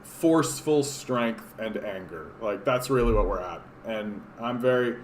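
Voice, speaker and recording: A man's voice: on the low side, expressive, measured pace, roomy sound, slight background noise, low-quality recording. A man from Canada hosting a casual political livestream, rambling with lots of fillers and restarts, sarcastic as he reads chat comments. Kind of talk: casual live-stream chat reading comments. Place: home room.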